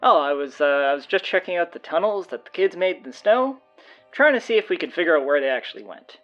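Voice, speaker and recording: A woman playing an earnest, defensive high school student with a wordy way of talking, in an audio drama. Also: animated, fast talker, muffled recording, faint music behind, lightly acted. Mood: flustered, evasive.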